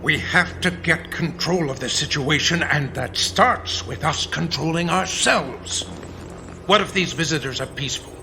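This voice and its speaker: An actor playing a measured, authoritative, stern president in a radio drama. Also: male voice, on the high side. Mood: probing, concerned. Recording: clear background noise.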